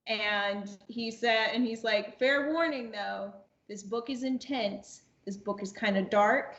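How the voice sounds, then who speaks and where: A woman's voice: fairly high pitch, takes it slowly, fairly bright tone, roomy sound, highs cut off. A woman in her twenties telling a casual anecdote as a podcast guest, acting out quoted dialogue and repeating phrases as she goes. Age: 20s. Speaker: podcast guest, amateur voice actress.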